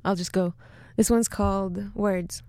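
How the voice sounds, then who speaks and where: A woman from Sweden with a Swedish accent, chatting casually as a studio guest and then reading her poetry slowly and deliberately. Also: mid-range voice, steady tone, dark tone, no echo. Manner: laughs easily, reads poetry slowly and deliberately. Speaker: guest poet. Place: radio studio.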